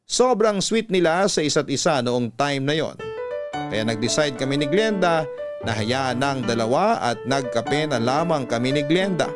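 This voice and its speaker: A man narrates in a dramatic radio storytelling style. His pitch sits in the middle for a man, and music plays clearly behind his voice. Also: animated, normal speed.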